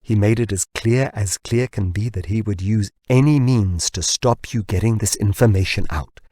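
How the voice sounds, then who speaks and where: A man voicing a weary, calculating male boss, deliberate, restrained and patronising, in audiobook dialogue. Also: fairly low pitch, warm tone, dry recording, studio quality, close to an everyday voice. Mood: grave.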